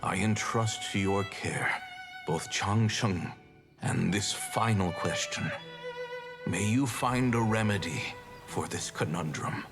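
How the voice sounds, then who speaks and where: A man voicing a solemn male predecessor who passes on a final duty in a slow, grave way. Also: low voice, music in the background.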